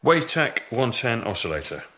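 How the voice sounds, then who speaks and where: A man's voice: mid-range voice, very animated, fairly quick, bright, forceful tone, slight background noise. An actor playing a matter-of-fact male lab technician in a radio drama, speaking in a businesslike way. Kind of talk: naturalistic radio drama acting.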